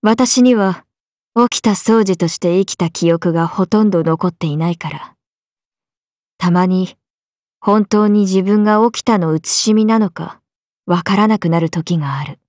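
A woman's voice: mid-range voice, a little slow, darkish tone, dry recording, clean studio sound, lightly acted. A woman voicing a young swordswoman character in a video game. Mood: calm, blunt. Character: swordswomen: a lively young one and her stoic alter self.

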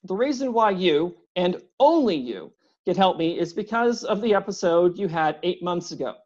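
Storybook figure: narrator's take on a huge man with a timid, apologetic manner, pitched up, muffled recording. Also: lively intonation, normal speed, a little mellow. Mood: polite pleading. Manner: apologetic, courteous, pleading. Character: big polite anxious man, professor.

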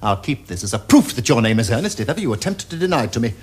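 An actor playing a flippant, teasing Victorian gentleman dandy, with an arch, theatrical upper-class English delivery. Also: mid-range voice, very animated, rapid-fire, breathy edge. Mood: mocking, playful. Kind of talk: period stage comedy acting for television.

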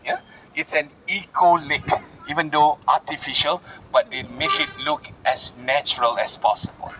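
Higher-voiced male tour guide outdoors. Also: normal speed, bright, forceful tone. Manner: explanatory, often checks in with "yeah?" and "all right?". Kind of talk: guided walking tour talk to a group. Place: outdoors in a public garden at night. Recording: noisy outdoor phone recording.

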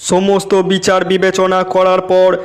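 A man's voice: high voice, monotone, normal speed, a little hiss or hum, natural voice. A man voicing a self-assured village council head in a comedy cartoon, formally pronouncing judgement. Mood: solemn.

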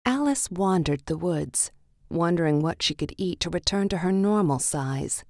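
A woman's voice: on the low side, lively intonation, moderate pace, a little mellow, no echo, clean studio sound. A woman narrating a children's read-along storybook in a scripted, story-reading style.

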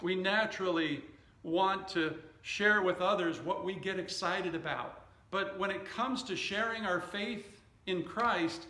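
Slow, higher-voiced male pastor preaching. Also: bright, forceful tone, reverberant, poor audio. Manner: measured, deliberate delivery in short phrases. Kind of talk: sermon with scripture reading and anecdotes. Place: indoor church stage at a wooden lectern.

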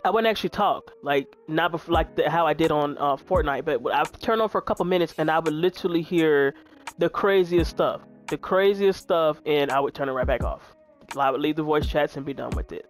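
A woman in her twenties with a deep voice, chatting candidly to her viewers while she plays a video game. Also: a little fast, faint music behind. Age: 20s. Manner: frank, chatty, talks straight to viewers. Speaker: gaming YouTuber.

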